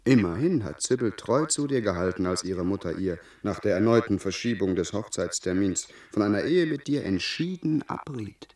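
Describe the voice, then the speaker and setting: An actor playing a sly confidant in a German radio play, coaxing and insinuating in a deliberate manner. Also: male voice, on the low side, expressive, a little mellow, roomy sound, distant mic, natural voice. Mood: brisk urging. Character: sly, scheming confidant egging a gentleman on.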